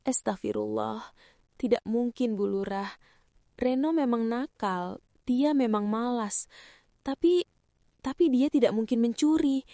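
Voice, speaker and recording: A woman's voice: on the high side, unhurried, long pauses, mellow, no room sound, studio quality. Woman acting a pleading, long-suffering poor mother. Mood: distressed, disbelieving. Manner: pleading, earnest.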